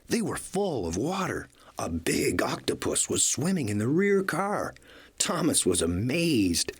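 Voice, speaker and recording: A man narrates a children's storybook in an animated read-along style. His mid-pitched voice has a husky edge and swings widely in intonation. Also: measured pace.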